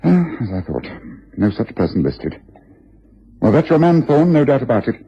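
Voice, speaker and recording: An actor playing a shrewd, self-assured gentleman detective with an upper-class English accent, precise and theatrical, in a radio drama. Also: medium pitch, sing-song, moderate pace. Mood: decisive. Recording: muffled, rough old radio recording.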